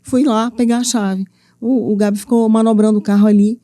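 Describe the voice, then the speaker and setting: A middle-aged woman from Minas Gerais with a Minas accent, telling her story as a studio interview guest with lively, playful earnestness. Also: fairly high pitch, a little fast, dry recording. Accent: Minas Gerais Brazilian Portuguese. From Minas Gerais, Brazil. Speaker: guest, author and mentor.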